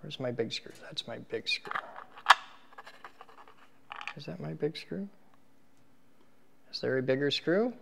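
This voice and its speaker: A man's voice: fairly high pitch, slow, long pauses. A man hosting an equipment teardown, talking informally and casually to viewers and to himself as he works with his hands. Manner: informal, muttering to himself while working, animated when addressing viewers. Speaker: host of an equipment teardown video series. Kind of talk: casual hands-on demonstration, talking while working. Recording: clear background noise, handling clicks.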